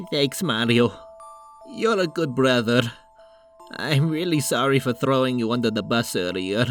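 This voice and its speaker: A man playing an anxious, guilt-ridden younger-brother plumber in an animated comic dub, sighing and putting himself down. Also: medium pitch, takes it slowly, pauses often, soft background music. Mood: apologetic. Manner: sighing, self-deprecating.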